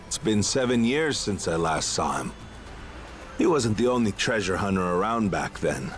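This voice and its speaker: A genial middle-aged pirate and treasure hunter in a video game. His voice is fairly low with lively intonation, folksy, measured and wry, with music playing behind. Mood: nostalgic. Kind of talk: dramatic video game voice acting.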